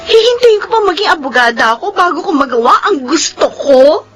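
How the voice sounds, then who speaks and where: A woman's voice: high-pitched, very animated, a little fast, faint music behind. A woman playing a defiant, anguished young daughter in a Filipino radio drama, emotional and pleading. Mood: tearful pleading. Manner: emotional, pleading, tearful outbursts. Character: defiant, anguished young daughter pushed toward law school.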